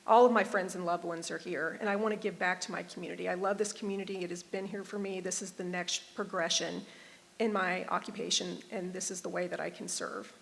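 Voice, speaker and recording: A woman's voice: lowish voice, steady tone, fairly quick, creaky. A woman in her forties, a judicial candidate, speaking sincerely and from the heart at a public forum. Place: performing arts center stage. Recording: reverberant auditorium.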